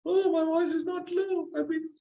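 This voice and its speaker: A man hosting a live training masterclass, energetic and motivational, often checking in with "right?"; his voice is a little strained from speaking loudly. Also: extremely high pitch, normal speed, creaky, muffled recording. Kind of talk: live online masterclass with audience questions.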